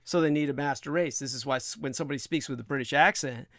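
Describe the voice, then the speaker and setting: A man hosting a livestream, giving a rambling, scornful opinion monologue in a creaky voice with many drawn-out hesitations and coarse language. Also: medium pitch, fairly bright tone, no echo, clean studio sound. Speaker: livestream host.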